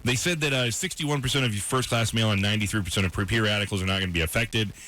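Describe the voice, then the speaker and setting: A man's voice: fairly low pitch, fast, sharp tone. An American man co-hosting a radio show, explaining the news informally and paraphrasing as he reads, in a conversational back-and-forth style. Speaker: radio morning show news presenter and co-host. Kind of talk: conversational radio news rundown with co-host banter. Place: radio studio.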